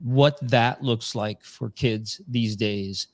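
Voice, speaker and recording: A man's voice: medium pitch, steady tone, slow pace. Man in his forties hosting a chat. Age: 40s. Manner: friendly, asks questions with restarts. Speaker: podcast host. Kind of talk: casual interview.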